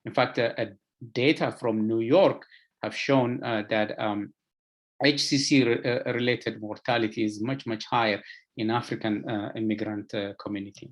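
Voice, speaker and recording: Ethiopian guy w low voice doing a medical talk, lots of uhs and repeats. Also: steady tone. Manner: halting, hesitant, with frequent filler sounds and repeated words. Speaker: medical expert presenter. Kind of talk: lecture presentation to health professionals.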